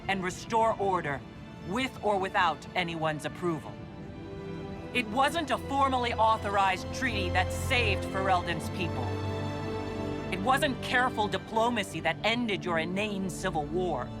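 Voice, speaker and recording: An actor playing a defiant, battle-hardened male leader in a video game cutscene, firm and blunt. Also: high voice. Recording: loud music over dialogue.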